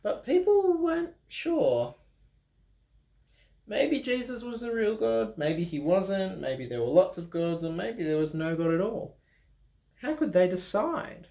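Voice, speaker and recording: A man reads a children's Bible story aloud at an unhurried pace, pausing for drama and leaning into key words. His voice is on the higher side with a gravelly creak, and the room around it is echoey. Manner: dramatic pauses, expressive emphasis, playful ad-libbed asides. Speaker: storyteller reading a children's Bible picture book. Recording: roomy, echoing room sound.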